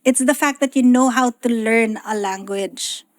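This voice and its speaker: A woman's voice: a little high, moderate pace. A woman in her thirties with a Filipino accent, a guest talking thoughtfully in English mixed with Tagalog. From Philippines. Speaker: guest, economist. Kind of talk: casual chat.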